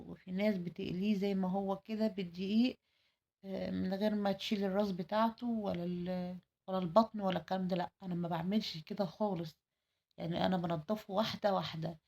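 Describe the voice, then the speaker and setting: An Egyptian woman talks through a home cooking vlog in an effusive, chatty way, stacking repeated words for emphasis. Her voice sounds tired, with a gravelly fry and a mellow, darker tone. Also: medium pitch, fairly even intonation, quick pace. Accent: Egyptian Arabic.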